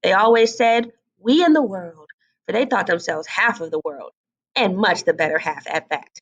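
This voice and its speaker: A woman reading a fairy tale aloud as an expressive storybook narrator. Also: on the low side, moderate pace, dull audio.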